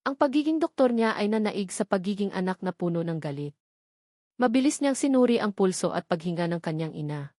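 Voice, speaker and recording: A woman's voice: mid-range voice, lively intonation, brisk, dark tone, no echo, clean studio sound. A woman narrator reading a scripted dramatic story.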